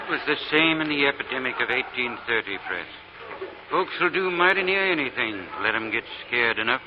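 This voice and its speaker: A man's voice: mid-range voice, lively intonation, fairly quick, lightly acted. An actor playing a folksy, fatherly older doctor in a 1940s radio drama. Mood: resigned. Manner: folksy, plainspoken. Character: folksy, fatherly older Southern doctor, resigned but dutiful. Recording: noisy, muffled 1940 radio broadcast.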